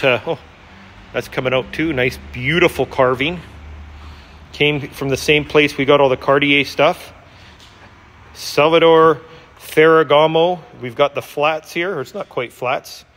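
A man giving a casual, unscripted walkthrough as an auctioneer, with an enthusiastic salesman's pitch, in a large indoor warehouse. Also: fairly bright tone. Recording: reverberant large hall.

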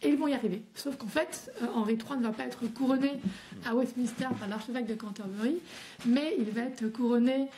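Hesitant woman historian, mellow voice. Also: fairly high pitch, fairly quick, a little hiss or hum. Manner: hesitant, many fillers and restarts. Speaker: historian guest. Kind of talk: academic explanation.

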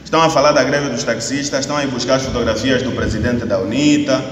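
A man in his thirties with an Angolan Portuguese accent, speaking as an opposition party spokesman at a press statement. He asks rhetorical questions, repeats phrases for emphasis, and rises to shouting. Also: medium pitch, fairly even intonation, fast talker, distant mic. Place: indoor hall at a podium. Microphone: podium mic on a stand, camera at a distance. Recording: echoey hall recording from the camera, faint ambient noise.

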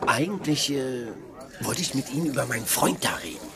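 Animated, sardonic sitcom roommate. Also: male voice, mid-range voice, brisk. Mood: probing, scheming. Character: grudging, sarcastic, scheming roommate of a friend. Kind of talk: dubbed sitcom acting. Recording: clear crowd chatter behind.

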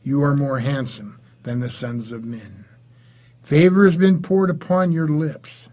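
Senior male Bible storyteller, 60s plus. Husky, fairly high register, earnest and emphatic, dropping softer for asides. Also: steady tone, measured pace. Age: 60s or older. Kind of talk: informal Bible lesson with scripture reading and prayer. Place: small indoor room with curtain and bookshelf.

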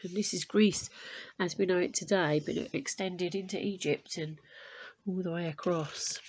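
A woman teacher reading a storybook aloud to pupils in an expressive, deliberate way, adding explanations. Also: fairly low pitch, slight background noise, rough recording.

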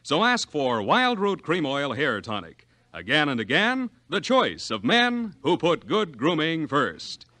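An American man announcing in 1940s radio style, reading a scripted sales pitch with polished emphasis. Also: wildly swooping pitch, a little slow, hardly any pauses, sharp tone, no echo. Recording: old 1940s radio broadcast.

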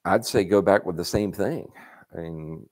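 A low-pitched man in his fifties with a drawl talks casually from a workshop, answering viewers' repair questions on a live stream. He is folksy and chatty, and he folds little stories of his own into his advice. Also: a little slow, darkish tone. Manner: folksy, chatty, reads questions aloud and adds anecdotes. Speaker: parts company host answering viewer questions. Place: workshop garage with motorcycles, indoors.

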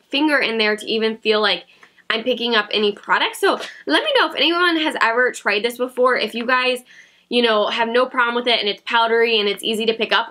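A woman's voice: on the high side, pushed and bright. A woman in her thirties chatting to camera about beauty products, a bit sick and nasal, giggly and self-mocking, at a desk in her home beauty room. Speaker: beauty vlogger. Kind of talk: casual haul chat to camera.

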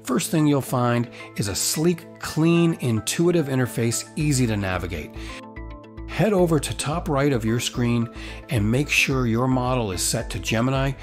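A man's voice: medium pitch, animated, unhurried. A man hosting a tutorial with upbeat, promotional scripted narration. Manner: enthusiastic, promotional. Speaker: tutorial host. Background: background music.

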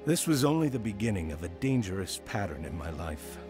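A man's voice: sits low, very animated, fairly quick. An actor playing a reflective, remorseful man who narrates his own youth in a radio drama. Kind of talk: scripted first-person drama narration. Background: music.

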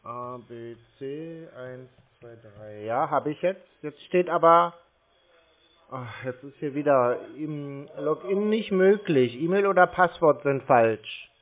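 A man on a phone call, slow and muddled, stubbornly complaining to the caller. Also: on the high side. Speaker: call recipient stringing scammers along. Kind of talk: prank phone call with scammers. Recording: tinny, band-limited phone line.

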